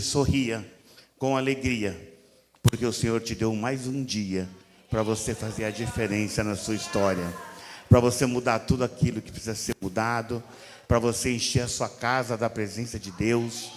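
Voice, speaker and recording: Brazilian pastor preaching in a reverberant hall. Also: male voice, medium pitch. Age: 50s. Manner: emphatic, repetitive, checks in with listeners using rhetorical questions. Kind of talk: sermon, conversational exhortation to a congregation. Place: church hall. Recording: reverberant hall with music underneath. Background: music.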